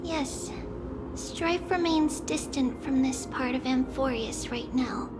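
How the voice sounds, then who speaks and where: A woman voicing a solemn young seer in a video game, guiding visitors through memories in measured, formal, wistful speech. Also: high voice. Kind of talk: video game voice acting, story narration. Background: game music.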